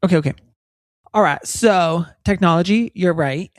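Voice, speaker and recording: A woman's voice: lowish voice, normal speed, no room sound. Woman co-host, dry close mic. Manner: relaxed, explains with examples. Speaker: podcast co-host. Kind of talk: casual chat. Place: recorded in Texas.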